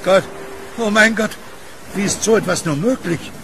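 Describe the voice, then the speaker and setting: An actor playing an anxious, alarmed man in a horror radio drama, halting and pleading in manner. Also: high-pitched, a bit bright, slight background noise. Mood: horrified. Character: anxious, alarmed man, devoted dog owner.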